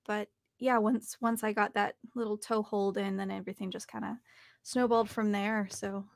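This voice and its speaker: Young woman, gravelly fry, dry close sound, casual interview guest, reflective. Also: mid-range voice, flat delivery, moderate pace, no room sound. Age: young adult. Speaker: interview guest, audiobook narrator and voice actor. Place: home studio, indoors.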